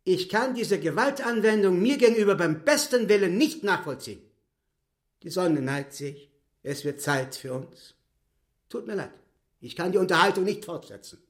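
An actor playing a talking demon tomcat in a radio play, with mannered, mock-offended and theatrically polite speech. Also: male voice, high voice, lively intonation, normal speed.

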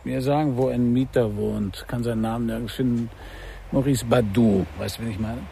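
An actor playing a wry, world-weary private detective in a noir radio play, with a dry, sardonic delivery. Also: male voice, mid-range voice, fairly even intonation, normal speed, creaky, mellow, slight background noise, lightly acted. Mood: probing, casual. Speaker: narrator and lead of a crime radio play. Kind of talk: first-person noir radio drama narration.

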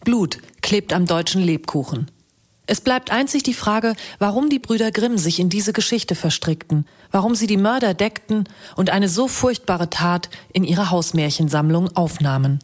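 An actress playing a driven, stubborn young radio journalist in a naturalistic radio drama. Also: female voice, medium pitch, lively intonation, normal speed, lightly acted. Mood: grave reporting.

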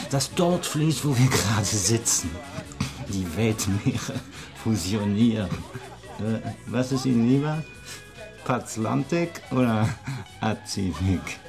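Radio drama: foul-mouthed male conspiracy ranter, emphatic and crude, mid-pitched, over music. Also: animated, slow pace. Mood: ranting. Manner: emphatic, coarse, ranting. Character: foul-mouthed, ranting conspiracy-theorist drinker named Jonah. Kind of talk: German radio play acting. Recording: music behind the voice.

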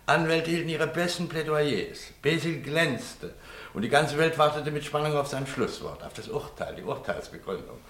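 An actor playing a talkative, easily exasperated private detective in a German radio play, with an emphatic storytelling manner. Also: male voice, fairly high pitch, lively intonation, moderate pace, a bit bright, echoey room. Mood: indignant, dramatic.

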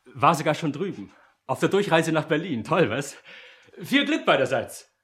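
An actor playing a brisk, chatty company employee in a radio drama, dryly ironic and matter-of-fact. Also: male voice, a little high, expressive, a bit bright, slightly rough. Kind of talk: German radio drama dialogue.

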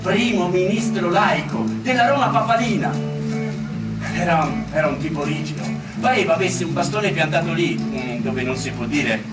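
Actor as high-voiced wry deputy, echoey. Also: male voice, expressive, moderate pace, natural voice. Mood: wry, disapproving. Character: wry, opinionated male northern deputy in 1849 Rome. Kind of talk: theatrical storytelling. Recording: echoey, distant, under loud music.

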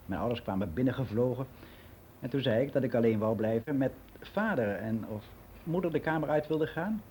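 Actor as mellow older man reminiscing, faint hiss. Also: mid-range voice, lively intonation, fairly quick, natural voice. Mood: reflective, confessional. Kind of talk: radio play monologue as a personal testimony.

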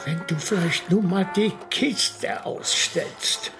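An actor playing a placating, slightly grumbling older husband with a creaky voice in a radio drama. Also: male voice, high voice, over music. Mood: mildly irritated. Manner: unhurried, placating. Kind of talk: naturalistic German radio drama.

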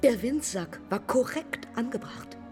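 A woman's voice: a little high, lively intonation, unhurried, a little mellow, in a large hall, faint music behind. An actress playing a self-possessed young woman aviator in a German radio drama, speaking in terse, clipped fragments. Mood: reflective. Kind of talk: German public radio drama, lyrical dialogue.

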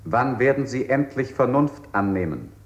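A man's voice: medium pitch, animated, normal speed, dull audio, natural voice. An actor playing a stern, impatient male interrogator in a stylised 1960s German radio play. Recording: echoey old radio recording, faint noise.

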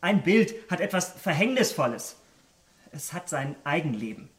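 An actor in a German radio drama playing an elegant, secretive young gentleman, charming but guarded, with polished formal diction. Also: a little high, big pitch swings, moderate pace. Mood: evasive, firm. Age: young adult.

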